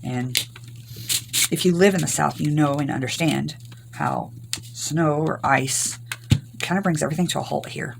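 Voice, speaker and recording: An older woman from Arkansas, hoarse from recent illness, chatting through a rambling crafting tutorial. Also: deep voice, normal speed, pauses often, background noise. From Arkansas, US South. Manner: rambling, homey, many ums. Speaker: craft channel host.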